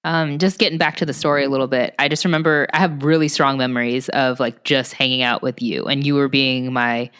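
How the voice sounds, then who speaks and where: A young woman with a low voice recalls childhood memories, pausing often and circling back with fillers. The sound has a long reverb, as if in a hard-walled room. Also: fairly even intonation, a bit bright, clear, resonant tone. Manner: hesitant, with many fillers. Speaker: podcast co-host. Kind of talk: casual chat.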